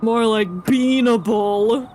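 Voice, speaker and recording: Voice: medium pitch, slow, a little mellow, a little hiss or hum. A game streamer giving playful, theatrical live commentary and joking along with the dialogue.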